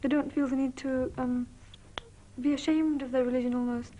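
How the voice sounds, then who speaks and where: A British teenage girl speaks in a high, mellow voice with little melodic variation. She gives opinions as a student interviewee, over faint noise. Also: little pitch movement, normal speed, dull audio. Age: teens. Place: small indoor classroom.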